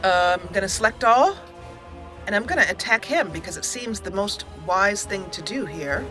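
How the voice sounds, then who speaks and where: A woman's voice: mid-range voice, lively intonation, moderate pace, over music. A woman hosting a let's play with casual gameplay commentary, chatty and thinking aloud.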